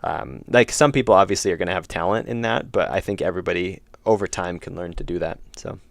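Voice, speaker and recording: A man's voice: deep voice, fast talker, vocal fry, dry recording. A young man streaming his indie game development, chatting casually with viewers and rambling through self-corrections while losing his voice and feeling slightly ill. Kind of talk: casual livestream chat answering chat.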